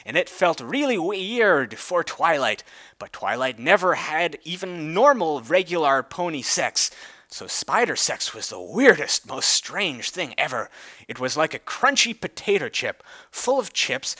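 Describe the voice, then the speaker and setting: A man's voice: high voice, bright, forceful tone, dry recording. A man giving a theatrical, mock-serious dramatic reading of a storybook-style tale. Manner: theatrical, mock-serious delivery of clumsy prose. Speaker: reader.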